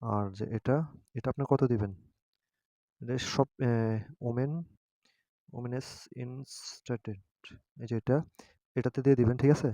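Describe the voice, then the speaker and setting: A man with a mellow, relaxed voice at a middling pitch walks through an app step by step. The sound is dry and close. He talks informally and haltingly, often pausing to seek agreement. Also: dry recording. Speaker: tutorial host.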